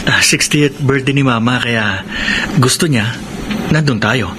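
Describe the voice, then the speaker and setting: A man's voice: mid-range voice, measured pace, noisy background, close to an everyday voice. A man playing a patient, affectionate, accommodating husband in a Filipino radio drama. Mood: conciliatory.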